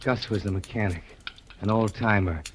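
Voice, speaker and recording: An actor playing an anxious young man torn between loyalty to his father and his conscience, speaking with uneasy tension and dropping to a hushed tone when he narrates, in a 1950s radio drama. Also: on the low side, moderate pace, a little hiss or hum, lightly acted. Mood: somber.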